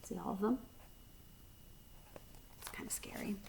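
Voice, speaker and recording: A woman's voice: unhurried, darkish tone, in a whisper, low-quality recording. A woman reading a children's storybook aloud like a teacher, expressively and speaking directly to young listeners.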